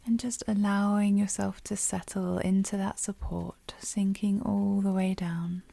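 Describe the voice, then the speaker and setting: A woman leading a guided yoga nidra meditation, speaking softly and soothingly with a breathy voice that often drops near a whisper. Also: medium pitch, level tone, dry recording. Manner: soothing, gentle, unhurried pauses.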